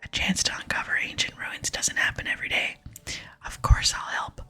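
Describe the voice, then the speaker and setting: A woman reads an earnest, courteous hero from a fantasy game, eager to help. It is delivered as a soft, breathy whisper with a bright edge and quiet music beneath. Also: normal speed. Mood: polite, eager. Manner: polite, earnest. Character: eager, polite young adventurer, the game's player hero. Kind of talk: whispered ASMR reading of game dialogue.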